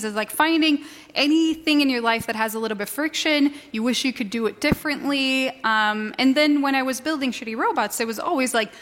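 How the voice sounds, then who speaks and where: A woman in her thirties from Sweden talks as an interview guest on a live stage, her voice pitched a touch high. She chats casually and jokes at her own expense. The sound carries a long hall reverb. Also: fairly bright tone. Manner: joking, self-deprecating, laughs often. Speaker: inventor and online creator, interview guest.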